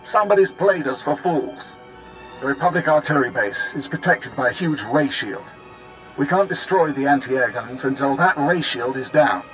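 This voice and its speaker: A man's voice: on the high side, a bit bright, poor audio. A man playing a proud, impatient Imperial military officer in a video game, clipped, authoritative and disdainful. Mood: urgent, commanding. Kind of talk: video game cutscene acting.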